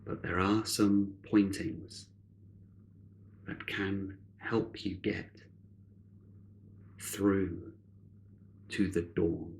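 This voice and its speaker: A man leads a guided meditation in a low, gravelly voice. He speaks in short, solemn phrases with long, deliberate pauses, keeping a soothing and measured delivery. There is some background noise under the voice. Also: steady tone, darkish tone. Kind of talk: guided meditation, spiritual narration.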